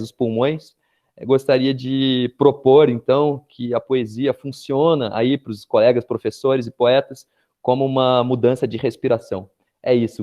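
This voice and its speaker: A man from Brazil giving an earnest, measured talk on poetry over a video call, often checking in with a short tag question. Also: medium pitch, mellow. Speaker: poet and teacher. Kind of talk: reflective spoken address at an online poetry event.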